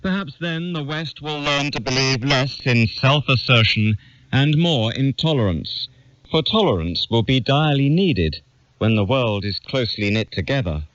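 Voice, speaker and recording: Expressive man narrating, dull lo-fi sound. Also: medium pitch, normal speed, dry recording. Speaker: narrator of a literary reading. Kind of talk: scripted audiobook narration of an essay. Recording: dull lo-fi radio capture.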